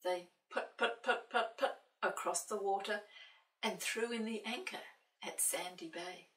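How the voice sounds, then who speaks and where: A woman reading a picture book aloud to children as an expressive storyteller. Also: medium pitch, unhurried. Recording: roomy, distant microphone.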